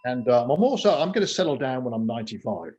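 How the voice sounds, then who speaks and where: A man's voice: medium pitch, sing-song, quick pace, dark tone, muffled recording, slight background noise. A man in his sixties from England, a podcast guest talking casually and rambling, with lots of fillers and self-mocking asides. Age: 60s. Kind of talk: casual interview talk.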